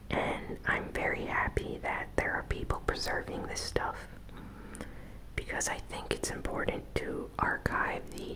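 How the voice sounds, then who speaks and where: A host whispering a gaming news recap in a soft, breathy ASMR style, with an unhurried, conversational and slightly hesitant delivery. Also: low-quality recording.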